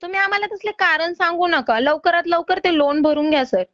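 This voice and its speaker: A woman's voice: extremely high pitch, fairly quick, fairly bright tone, no echo. A woman making a loan recovery call in an insistent, polite but exasperated manner. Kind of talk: phone collection call. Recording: slightly dull phone-call audio.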